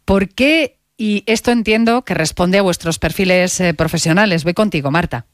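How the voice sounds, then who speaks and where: A woman hosting a radio interview, asking measured, guiding questions. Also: lowish voice, no room sound, clean studio sound. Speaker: radio host.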